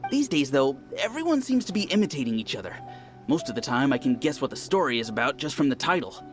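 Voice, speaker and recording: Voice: mid-range voice, sing-song, quick pace, soft background music, natural voice. A voice actor playing a reflective, slightly jaded longtime reader of novels.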